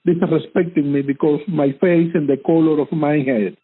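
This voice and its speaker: A man's voice: on the high side, fairly even intonation, normal speed, husky. A man being interviewed who speaks formally and graciously, thanking often. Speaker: interview guest. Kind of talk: interview conversation. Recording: tinny phone-line call.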